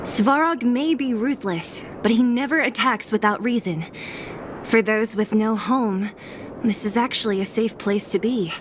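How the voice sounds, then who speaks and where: An actress playing a tough, no-nonsense young resistance fighter in a game cutscene, direct and matter-of-fact. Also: female voice, fairly high pitch, measured pace, background noise, lightly acted. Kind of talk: video game cutscene acting.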